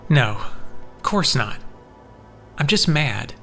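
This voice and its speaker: A man acts out a brooding, jealous man who holds dangerous powers. His medium-pitched voice is guarded and tense, quick to turn defensive. It plays as audio drama over faint music. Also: sing-song, a bit bright, full character voice. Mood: subdued.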